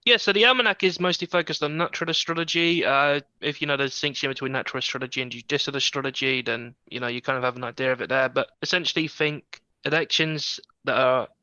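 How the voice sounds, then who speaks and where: A man with an English accent, co-hosting a casual podcast and explaining things in a relaxed way. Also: medium pitch, quick pace, few pauses, no echo. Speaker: podcast co-host. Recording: dull, dry, lo-fi sound.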